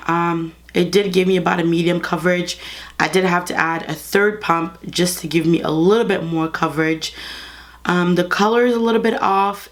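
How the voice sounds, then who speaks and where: A young woman with a congested, under-the-weather voice, chatting to her viewers while reviewing and applying makeup at home. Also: fairly low pitch. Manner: chatty, talks straight to viewers. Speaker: beauty vlogger. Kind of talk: casual makeup review and demo. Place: bedroom studio with clothing rack.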